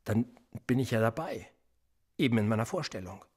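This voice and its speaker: An actor playing a cold, probing police interrogator in a radio drama, needling and calm. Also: male voice, medium pitch, moderate pace, breathy edge. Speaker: police investigator.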